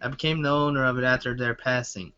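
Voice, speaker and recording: A dull, low-fidelity home recording carries a man's bright, forward voice. He reads fan fiction aloud as an amateur would, halting here and there over the words. His pitch sits in the middle range for a man. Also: steady tone, clear, resonant tone. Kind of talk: reading a story aloud, with casual asides.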